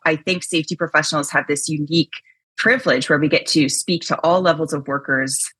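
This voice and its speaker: A woman chatting as a podcast guest, loud and energetic, with a husky voice and many casual fillers. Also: low voice, a little fast, dry recording. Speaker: podcast guest, chief risk officer. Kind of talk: casual interview chat.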